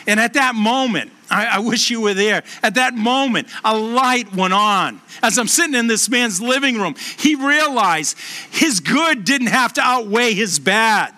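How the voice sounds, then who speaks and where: Bright-voiced Boston pastor preaching. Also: male voice, animated. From Boston, USA. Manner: earnest storytelling, slows and lowers voice for emphasis. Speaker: pastor and hospice chaplain. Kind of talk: sermon.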